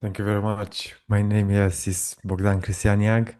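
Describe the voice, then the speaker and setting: A man with a Romanian accent telling his personal story as an interview guest, in halting non-native phrasing. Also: fairly low pitch, level tone, mellow. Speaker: guest, breathwork practitioner.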